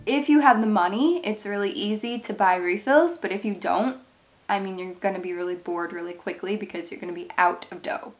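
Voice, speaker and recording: A woman's voice: mid-range voice, brisk, faint music behind. Brief: young woman, congested cold voice, chatty and rambling craft vlogger, camera mic in a bedroom. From Ohio, USA. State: stuffed up, nasal from a cold. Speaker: craft channel host. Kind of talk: casual product review vlog. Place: bedroom, indoors.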